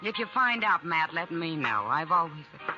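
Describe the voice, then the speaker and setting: A woman's voice: low-pitched, very animated, moderate pace, music in the background. An actress in a 1950s radio western, playing a worldly, loyal dance hall girl with a wry, sympathetic way. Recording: dull old radio recording.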